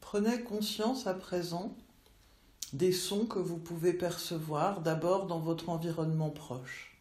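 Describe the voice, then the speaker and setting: A woman teaching yoga nidra, guiding relaxation in measured instructional phrases with long pauses. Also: fairly low pitch, a little mellow. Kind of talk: slow guided relaxation meditation. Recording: roomy, echoey voice recording.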